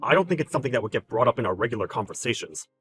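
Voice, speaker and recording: A man playing a calm, polite, deferential employee in a dramatized story, speaking in a measured and formal way. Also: mid-range voice, faint music behind, voice pushed down. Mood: calmly firm. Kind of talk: dramatized story narration with character voices.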